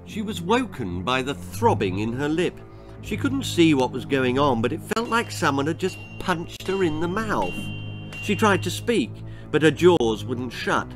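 A man's voice: a little high, big pitch swings, a little slow, over music. A man in his sixties narrating a children's story in a theatrical, emphatic manner. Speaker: author reading his own stories. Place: small indoor room lined with books.

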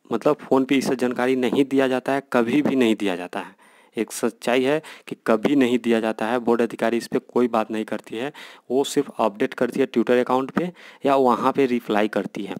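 A man's voice: medium pitch, darkish tone, no room sound. Indian male channel host, informal explainer, insistent and repetitive, close dry sound.

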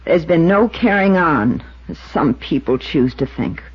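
Through a muffled 1950s radio recording comes a young American waitress. Her husky voice is earnest and defensive, full of hurt. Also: low voice, lively intonation, measured pace, exaggerated voice. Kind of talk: 1950s radio drama acting.

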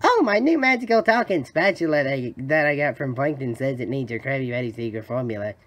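A man voicing a cheerful, gullible young sea sponge fry cook for a cartoon, eager and naive. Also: mid-range voice, lively intonation, fast talker, close to an everyday voice. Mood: earnest. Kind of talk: cartoon fan voice acting.

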